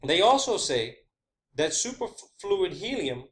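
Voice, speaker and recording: A man's voice: high-pitched, big pitch swings, slow pace. A man delivering an informal, rambling science-theory monologue, emphatic and sweary, often repeating his phrases. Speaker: online video host.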